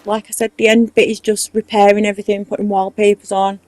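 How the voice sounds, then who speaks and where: A woman's voice: mid-range voice, flat delivery, fast talker, darkish tone, no room sound. A woman with a strong Mancunian accent, casually talking through a game building tutorial step by step. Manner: chatty, explaining step by step. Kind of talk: casual commentary over gameplay.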